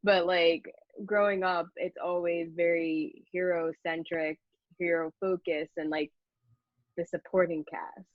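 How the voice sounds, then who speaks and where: Low-voiced woman interviewer, dull recording. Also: little pitch movement, measured pace, no echo. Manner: informal, conversational. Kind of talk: casual chatty interview.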